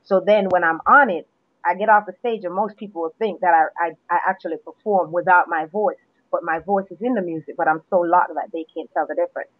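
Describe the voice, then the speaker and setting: A woman from Jamaica, a guest poet, chatting in an interview and telling her story in a relaxed way with restarts, heard over a phone line. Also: lowish voice, brisk, no echo. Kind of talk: casual interview chat. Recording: tinny phone line, dry.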